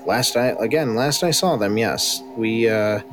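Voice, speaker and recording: A man voicing a weary, guarded older woman survivor who answers in halting, hesitant bursts. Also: lowish voice, over music, natural voice.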